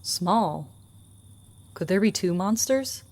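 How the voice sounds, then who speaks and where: A woman's voice: mid-range voice, expressive, slow pace, a little mellow. A woman playing a plucky, alert partner on a monster hunt in a vintage-style radio drama. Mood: curious. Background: dramatic music.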